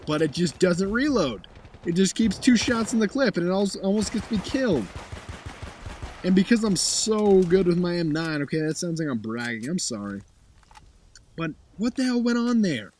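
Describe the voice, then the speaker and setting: Young guy, voice going hoarse, kinda high-ish, talks through his match with snarky asides, faint hiss behind. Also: a little mellow. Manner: blunt, swears, sarcastic asides. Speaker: gameplay commentator. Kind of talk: casual game commentary over footage.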